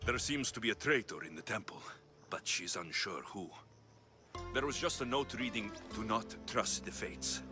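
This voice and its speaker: An actor playing a confident mercenary hero of ancient Greece in a video game, with a dry, sardonic, businesslike manner. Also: male voice, lowish voice, lively intonation, normal speed, music in the background, lightly acted. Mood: matter-of-fact. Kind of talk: video game dialogue acting.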